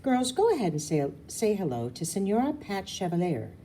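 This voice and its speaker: A woman's voice: mid-range voice, sing-song, normal speed, mellow, slight background noise, close to an everyday voice. Woman reading as a goofy, awkward guy. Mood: breezy. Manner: casual, awkward. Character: goofy, awkward, too-casual grown man.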